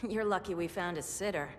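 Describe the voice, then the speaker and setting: A woman's voice: on the low side, slight background noise, natural voice. An actress playing a confident, friendly, teasing female hero in a video game cutscene. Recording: long reverb, music behind.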